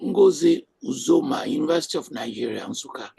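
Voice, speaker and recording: A man hosting a panel discussion as moderator, giving brisk directions to speakers. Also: mid-range voice, animated, gravelly, warm tone, husky. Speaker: host and moderator. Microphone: video call.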